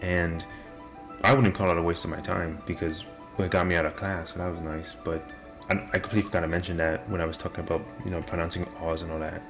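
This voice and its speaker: A young man with a deep voice and nonstandard R sounds, talking casually and self-deprecatingly to the camera. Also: little pitch movement, quick pace. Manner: rambling, self-deprecating, many restarts. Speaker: vlogger. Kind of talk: casual rambling monologue. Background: video game music playing.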